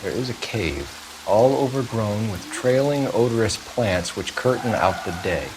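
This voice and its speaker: A male actor plays a young 1940s American police detective in a game cutscene. His voice sits on the low side, measured and earnest, with precise wording. Clear ambient noise runs behind him. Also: lively intonation, lightly acted. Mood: serious. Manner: measured, articulate, serious. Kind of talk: naturalistic game cutscene acting.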